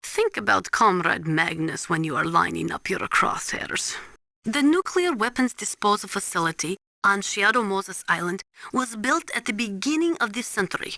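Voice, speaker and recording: A woman's voice: mid-range voice, big pitch swings, normal speed, gravelly, sharp tone, no echo, full character voice. A woman voicing a serious, knowledgeable military analyst in a video game, briefing in a precise, formal, instructive way. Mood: matter-of-fact.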